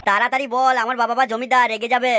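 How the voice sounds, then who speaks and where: Casting: woman as bragging cartoon landlord's boy, high-pitched, rattling off haughty scolding at top speed. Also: level tone, fast talker, pushed and bright, no echo, studio quality. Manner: pompous, scolding. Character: boastful, touchy young landlord's son, cartoon boy. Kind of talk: exaggerated comic cartoon dialogue.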